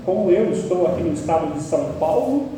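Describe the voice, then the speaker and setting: A man's voice: on the high side, animated, fairly quick, recorded from a distance, a little hiss or hum. Man preaching in an echoey church hall. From Paraná, Brazil. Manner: emphatic, builds to sharp peaks on key words. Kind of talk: expository sermon. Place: church sanctuary.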